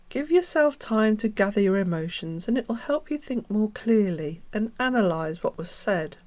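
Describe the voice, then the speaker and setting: Female host, earnest explainer, medium pitch, dry sound. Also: darkish tone, no room sound. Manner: earnest, instructive. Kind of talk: explanatory scam-awareness narration.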